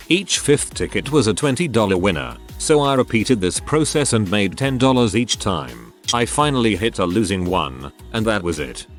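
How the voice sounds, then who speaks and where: A man reading out online stories as a narrator, in a flat read with oddly placed pauses and stresses. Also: fairly low pitch. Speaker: narrator of reddit posts.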